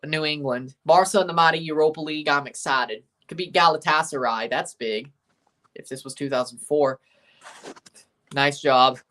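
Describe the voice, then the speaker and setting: A man's voice: on the high side, a bit bright. A young man hosting a live sports watchalong stream, reading chat aloud and giving opinionated takes. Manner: reads out team lineups. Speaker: livestream host reading chat.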